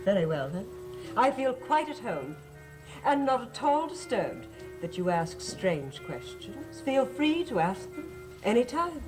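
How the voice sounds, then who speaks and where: A woman's voice: a little high, very animated, unhurried, rough recording. Poised, lilting young lady. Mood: gracious, coy. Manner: polite, composed, theatrical. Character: poised, coy young actress guest at a manor. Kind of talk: 1970s gothic soap opera acting. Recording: music behind the dialogue.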